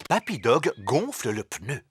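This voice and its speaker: Very animated male cartoon narrator. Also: mid-range voice, a little slow, very noisy. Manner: simple, clear storytelling. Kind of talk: scripted preschool cartoon narration. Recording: loud music behind the voice.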